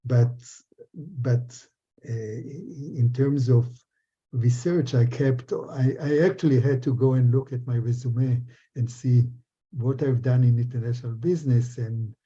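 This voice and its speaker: An older man from Israel giving reflective interview answers in a halting way, often repeating words and restarting. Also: medium pitch, fairly even intonation, mellow, breathy edge. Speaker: interviewee, business professor. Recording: dull, muffled lo-fi sound.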